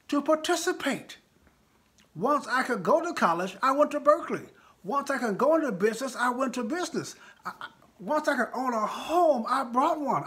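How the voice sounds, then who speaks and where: A man's voice: extremely high pitch, pushed and bright. An American man in his sixties speaking informally but with passion to live viewers, emphatic and repeating phrases for effect. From United States. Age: 60s. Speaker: live stream host and political speaker.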